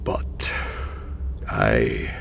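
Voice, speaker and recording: A man playing an ancient, weary and stubborn male sage, speaking slowly and dryly in a gravelly voice. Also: slight background noise. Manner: slow, deliberate and dry. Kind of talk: audio drama acting.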